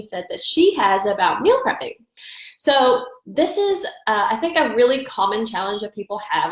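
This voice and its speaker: A woman's voice: a little high, reverberant. Congested upbeat woman on a live stream. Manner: upbeat, chatty, greets viewers by name. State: congested from allergies. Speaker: nutrition coach hosting a live stream. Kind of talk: casual live-stream advice. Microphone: phone or webcam for a live stream.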